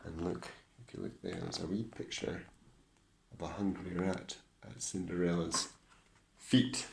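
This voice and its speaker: A Scottish man tells a children's story in a lowish voice at a very slow, deliberate pace. His delivery is expressive and theatrical, dropping into hushed asides. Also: vocal fry, background noise. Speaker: teacher reading a story to pupils.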